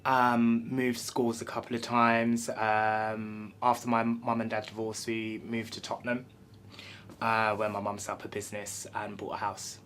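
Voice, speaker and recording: A man's voice: on the low side, completely flat delivery, a little slow, a bit bright, a little hiss or hum. Man in his thirties from London. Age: 30s. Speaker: hostel resident. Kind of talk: interview about his life.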